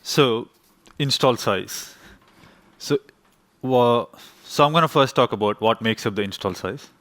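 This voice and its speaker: A man giving a technical conference presentation, explaining in a slightly halting way. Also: medium pitch, fairly bright tone, no echo. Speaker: conference speaker.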